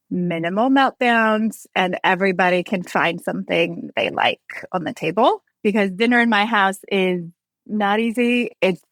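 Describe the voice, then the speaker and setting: A woman's voice: mid-range voice, a bit bright, dry recording. A woman in her forties from North Iceland, a guest in a casual interview, talking candidly and reflectively with restarts; Icelandic is her first language.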